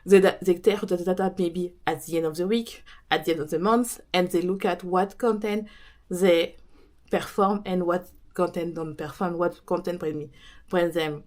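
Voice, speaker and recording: A woman with a French accent, a native French speaker, talking casually about consistency as a podcast host and coach, often pausing and restarting as she searches for words. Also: fairly low pitch, fairly even intonation, a little mellow. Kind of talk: casual talk to camera.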